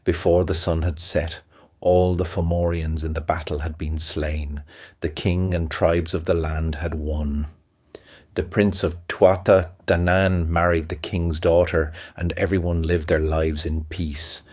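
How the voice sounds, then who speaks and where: A man narrating a fantasy audio play as a storyteller, with a measured, even delivery. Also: low-pitched, dry recording, clean studio sound. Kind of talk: scripted fairy-tale narration.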